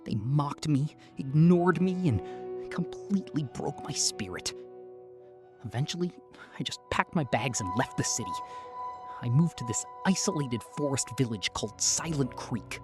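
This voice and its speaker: A soft-spoken, weary woman narrating a first-person horror story. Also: a bass voice, animated, moderate pace, music in the background.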